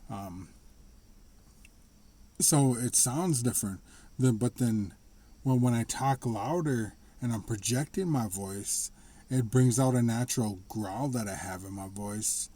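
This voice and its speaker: Soft-spoken man with a mellow, husky voice. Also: mid-range voice. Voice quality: slightly raspy. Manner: rambling, halting, with frequent restarts and laughs. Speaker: vlogger. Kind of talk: casual personal monologue.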